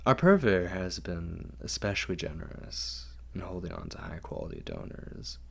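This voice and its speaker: A man playing a refined, doting, fastidious vampire lord in an intimate ASMR roleplay, speaking formally and coaxingly in a gravelly, often whispery voice. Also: low voice, huge pitch swings, exaggerated voice. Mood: wry, musing. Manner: formal, measured, softly coaxing.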